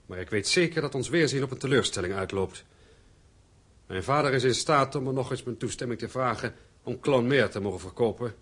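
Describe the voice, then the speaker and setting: Radio drama: heavy-hearted resentful man, medium pitch, husky, emphatic and proud. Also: fairly quick, a bit bright. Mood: bitter, resolute. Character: gloomy, resentful, stubborn estranged son and father. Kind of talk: radio play acting.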